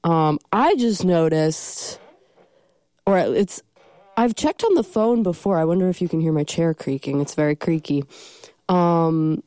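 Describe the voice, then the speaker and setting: Young woman, college radio host, stuffy from a cold, rambling chat, smooth clear voice, dry studio sound. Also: fairly low pitch, lively intonation, measured pace, warm tone, no echo. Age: young adult. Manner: rambling, self-deprecating, many ums.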